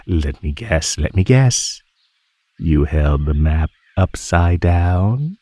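A man voicing a teasing, menacing male naga in an ASMR roleplay, speaking in a mocking, condescending drawl with pauses. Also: low voice, expressive, warm tone, no room sound, full character voice. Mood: mocking, amused. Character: teasing, menacing male naga predator toying with captive prey.

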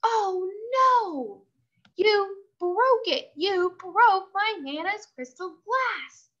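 A woman voicing a spoiled, vain little girl in a storybook, loud, showy and theatrical. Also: very high voice, lively intonation, very slow, lo-fi sound, pitched above the performer's own voice, heavily acted. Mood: horrified outrage.

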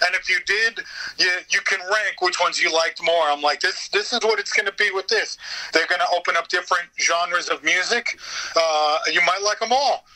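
A man with a high-pitched, piercingly bright voice chats casually as a podcast guest. He talks in an animated, informal way and swears freely. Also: moderate pace, poor audio. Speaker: guest, voice actor.

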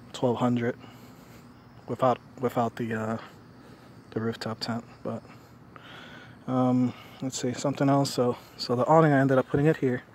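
A man's voice: mid-range voice, a little mellow, slight background noise. A middle-aged man casually showing his homemade camping trailer, talking in a loose, rambling way with many fillers, filmed outdoors on a handheld phone. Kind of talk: casual walkthrough. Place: outdoors on a residential lawn. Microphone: phone camera held in hand.

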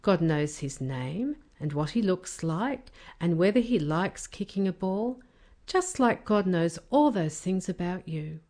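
Woman reading as patient storybook dad, unhurried. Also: on the low side. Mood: patient, explaining. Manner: explaining calmly. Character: patient, reassuring father of a young boy. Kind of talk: children's storybook character voice.

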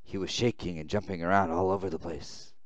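A man voicing a weary, fearful young man who narrates his ordeal, reading visual novel text with halting, dramatic phrasing. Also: deep voice, fairly even intonation, no room sound, lightly acted. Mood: uneasy, hushed.

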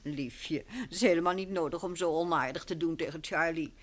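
A woman playing a composed, gently teasing wife in a vintage radio play. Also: deep voice, fairly quick.

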